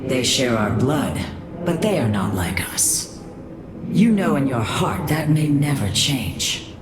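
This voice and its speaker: A woman's voice: extremely low pitch, sing-song, slow pace, very noisy, full character voice. An actress playing a proud, wary alien matriarch in a video game, formal and guarded in her delivery. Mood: wary, troubled. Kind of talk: dramatic video game cutscene acting.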